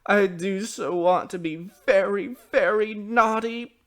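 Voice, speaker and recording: A man's voice: medium pitch, sing-song, measured pace, pitched above the performer's own voice. A man voicing a small, passionate girl in a storybook, pleading with wailing emphasis. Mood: sobbing.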